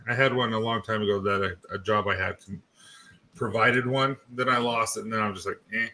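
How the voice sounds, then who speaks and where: A man's voice: on the low side, fairly even intonation, fairly quick, sharp tone. A man in his forties hosting a casual livestream, greeting viewers by name and laughing often. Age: 40s. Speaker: livestream host.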